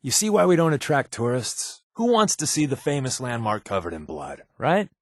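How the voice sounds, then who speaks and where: A wisecracking spy, animated and dry. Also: male voice, mid-range voice, normal speed, dry recording. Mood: incredulous. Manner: dry, deadpan, joking delivery. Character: wisecracking sardonic male spy companion in a wasteland. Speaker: voice actor. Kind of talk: video game companion dialogue.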